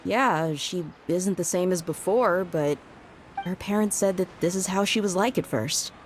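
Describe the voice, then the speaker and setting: An actress playing a tough, loyal young bodyguard in a video game, with a blunt, casual way of talking. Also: female voice, lowish voice, a little slow, a little hiss or hum, lightly acted, poor audio. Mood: skeptical.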